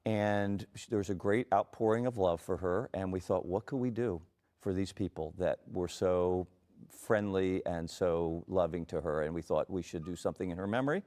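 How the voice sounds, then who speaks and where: Deep, mellow middle-aged man. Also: moderate pace. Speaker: parent and ballet creator. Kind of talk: TV interview.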